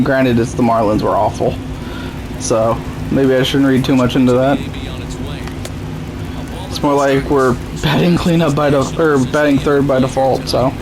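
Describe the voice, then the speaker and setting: A young man with a sore, strained throat, casually commenting on his own baseball game. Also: mid-range voice. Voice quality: voice strained and rough. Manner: laid-back, self-deprecating. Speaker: gaming YouTuber. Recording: noisy home recording.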